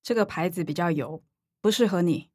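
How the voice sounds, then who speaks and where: An actress playing a soft-hearted middle-aged mother who placates her daughters, with a Taiwanese accent, in a radio drama. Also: lowish voice, fairly quick, slightly rough, no room sound, studio quality. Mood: hushed, concerned.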